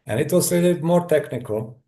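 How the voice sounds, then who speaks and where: A middle-aged man from Czechoslovakia with a Czech accent, a guest telling his story in a halting, thoughtful way with many restarts. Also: fairly high pitch, animated, mellow. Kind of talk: personal story in a conversation.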